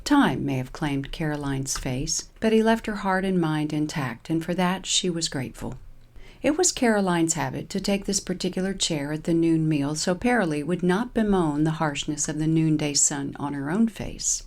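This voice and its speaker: A woman's voice: deep voice, lively intonation, moderate pace, darkish tone. A woman reading a scripted humorous short story as narrator.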